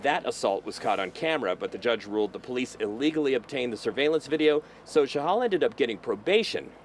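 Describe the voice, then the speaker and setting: A man delivering a live news report in a measured reporter's voice, outdoors by a courthouse. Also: medium pitch, slight background noise. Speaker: field reporter.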